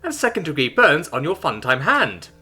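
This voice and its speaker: A guy voicing a chill surfer-bro medical robot for an audio story, high pitched, husky and bright, swooping wildly between notes, easygoing slang in every line. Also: wildly swooping pitch, moderate pace, heavily acted. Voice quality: laid-back surfer slang. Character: cheerful bro-talking robot male nurse android.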